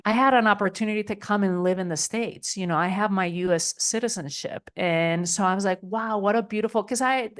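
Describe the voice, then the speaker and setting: A middle-aged woman from Spain, a podcast guest talking in a chatty way with many "like" and "you know" fillers. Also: mid-range voice. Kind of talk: interview conversation.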